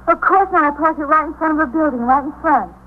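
A woman's voice: sits high, fast talker, close to an everyday voice. An actress playing a flustered, indignant woman in a 1950s radio drama, fussy and insistent. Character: flustered, indignant woman reporting her stolen car. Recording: narrow-band 1950s radio recording, faint noise.

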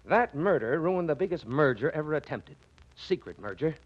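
A brisk, self-important American businessman. His pitch is fairly high and very changeable, with clipped, boastful phrasing. The sound is a dull vintage radio recording. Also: lively intonation, breathy edge. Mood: chatty, self-important. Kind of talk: 1940s radio acting.

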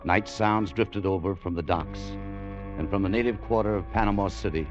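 Guy acting a world-weary hard-boiled salesman type, old radio drama, deep-ish voice, music under it. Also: normal speed, lightly acted. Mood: brooding. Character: restless, fed-up American machinery salesman, world-weary adventurer. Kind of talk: 1940s-50s radio drama acting, first-person narration. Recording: dull vintage radio recording, music behind.

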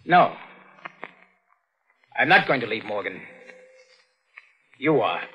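Intense young radio-thriller villain, highly animated. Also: male voice, slow pace, husky, muffled recording, full character voice. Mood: cold, menacing. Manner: melodramatic, intense. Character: desperate, resentful young male ward turned cold murderer. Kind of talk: 1940s radio thriller acting. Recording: noisy vintage 1940s radio recording.

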